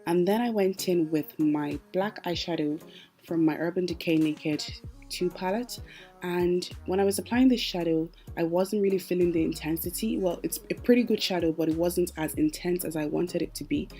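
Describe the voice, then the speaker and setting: Young woman, low mellow voice, chatty makeup voice-over, soft music behind. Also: moderate pace. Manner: chatty, explains each step. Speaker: makeup artist.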